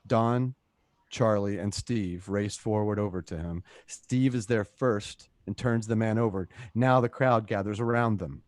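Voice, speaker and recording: A man's voice: lowish voice, a little slow, darkish tone, no echo. A man narrating a script's scene directions as the narrator of a radio play. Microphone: close home microphone.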